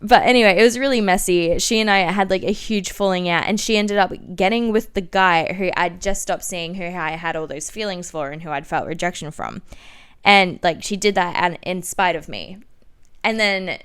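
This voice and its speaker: A young woman telling personal stories casually as a podcast host, in a slangy, self-mocking, animated way. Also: fairly low pitch, normal speed, smooth. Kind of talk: casual confessional storytelling.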